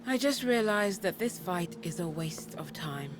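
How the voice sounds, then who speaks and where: A woman playing a self-assured, condescending rival Valkyrie in a video game, with an arch, drawn-out, mocking delivery. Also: on the low side, expressive, background noise. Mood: disdainful. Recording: music under the voice.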